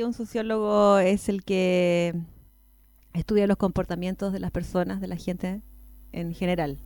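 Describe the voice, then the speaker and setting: Mellow-voiced woman interviewer. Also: medium pitch. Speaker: radio interviewer. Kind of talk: radio interview questions.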